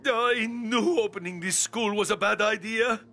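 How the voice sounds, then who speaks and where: A man's voice: high-pitched, measured pace, creaky, sharp tone, soft background music, exaggerated voice. A man playing an anxious, proper young monster in a children's cartoon, with a fussy, dramatic delivery. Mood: alarmed. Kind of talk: animated children's cartoon acting.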